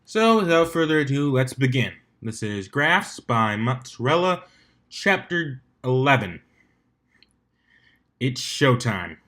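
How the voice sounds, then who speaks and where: A man reading fan fiction aloud as an amateur narrator, measured, with occasional stumbles over the text. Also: medium pitch, very animated, a bit bright.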